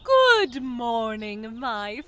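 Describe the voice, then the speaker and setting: An actress playing a theatrical prison-block mistress in an audio drama, announcing orders in a showy, deliberate way. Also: female voice, on the high side, big pitch swings, sharp tone, a little hiss or hum, heavily acted. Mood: grandly brisk.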